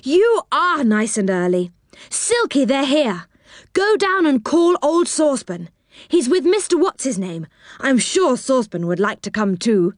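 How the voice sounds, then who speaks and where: A woman's voice: mid-range voice, sing-song, moderate pace, hardly any pauses, dry recording, clean studio sound, close to an everyday voice. A woman voicing a delighted, bustling magical treehouse fellow in a storybook, eager and welcoming.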